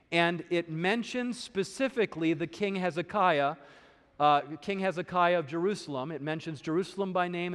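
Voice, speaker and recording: Man lecturing in a reverberant hall. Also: fairly high pitch. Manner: explanatory, steady, occasional uh fillers. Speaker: presenter. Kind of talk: lecture on archaeology.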